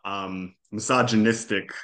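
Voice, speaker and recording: Low-voiced man speaking English as a second language, native Hebrew. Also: fairly even intonation, measured pace, a bit bright. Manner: thoughtful, explanatory, frequent restarts. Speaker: podcast guest, historian. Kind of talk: casual interview conversation.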